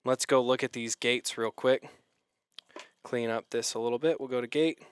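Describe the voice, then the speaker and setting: A man giving a relaxed, hands-on tutorial, explaining each step as he patches, with the odd "um". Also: medium pitch, moderate pace, clean tone. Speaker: synth module demonstrator.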